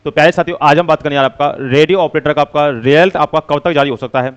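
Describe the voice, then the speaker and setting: A man's voice: on the high side, fairly even intonation, quick pace, clean tone, highs cut off. A man giving an informal explainer to students as a coaching teacher, with repetitive, filler-heavy phrasing. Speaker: coaching teacher hosting exam update videos.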